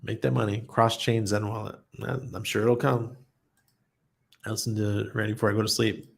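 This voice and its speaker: A man's voice: on the low side, level tone, moderate pace, creaky. A man hosting a casual livestream, reading viewer comments aloud and answering them in a blunt, chatty way, often laughing. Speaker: livestream host.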